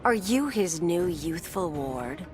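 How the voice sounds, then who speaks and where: A scornful villainess of a fighting game, has a lowish, cool voice. She speaks with disdain over loud music. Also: big pitch swings, slow pace. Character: cool, disdainful female plant-wielding villainess.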